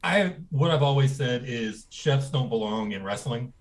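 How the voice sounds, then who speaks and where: A man's voice: medium pitch, animated, unhurried. Blunt, ranting man co-host. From Texas. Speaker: podcast co-host. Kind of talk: casual banter.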